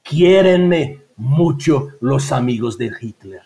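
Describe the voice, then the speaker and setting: A man on a podcast reading a passage aloud in a slow, measured way. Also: medium pitch, animated, gravelly, warm tone. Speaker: podcast co-host.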